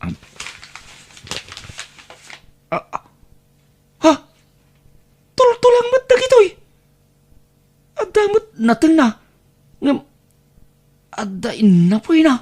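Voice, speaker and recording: A man's voice: very high voice, huge pitch swings, slow, pauses often, darkish tone, full character voice. A man playing a put-upon young nephew in an audio drama, plaintive and exclamatory, with an Ilocano accent. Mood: dismayed.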